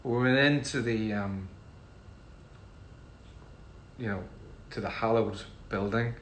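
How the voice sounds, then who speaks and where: Northern Irish musician, middle-aged man, laid-back fan Q&A, slightly low voice. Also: slow, a little hiss or hum. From Northern Ireland. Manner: relaxed, chatty, frequent ums. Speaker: musician answering fan questions. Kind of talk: casual livestream Q&A.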